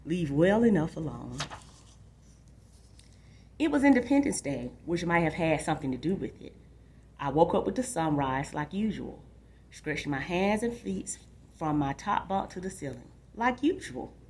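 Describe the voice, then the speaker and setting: A woman's voice: fairly low pitch, a little mellow, husky. A woman hosting a book program for children, explaining things directly to young listeners and reading aloud. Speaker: library book show host. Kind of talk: informal book talk and read-aloud for children.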